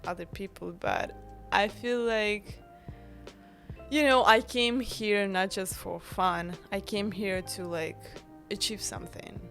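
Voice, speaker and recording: Young woman, Ukrainian accent, casual chat, lots of fillers, medium pitch. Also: fairly bright tone. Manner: chatty, many fillers, non-native grammar. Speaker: guest, bakery founder.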